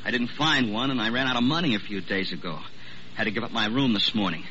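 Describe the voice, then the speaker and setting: A troubled young American farm lad, halting and remorseful. His voice is very tense and bright, heard through a dull old radio recording with slight noise. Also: lowish voice. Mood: ashamed. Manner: halting, pleading. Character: anxious, remorseful farm boy of about twenty. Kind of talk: 1940s radio drama acting.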